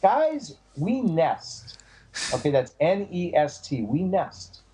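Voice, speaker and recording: A man with a big booming voice, a dating coach giving emphatic, animated advice on a call. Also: slow pace, low-quality recording. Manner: animated, emphatic, repeats phrases. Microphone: call audio.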